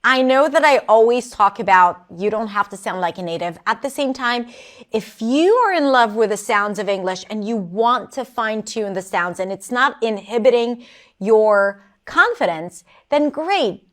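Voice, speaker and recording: A woman coaching English pronunciation, answering a learner's question in an encouraging, expressive way. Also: a little high, normal speed.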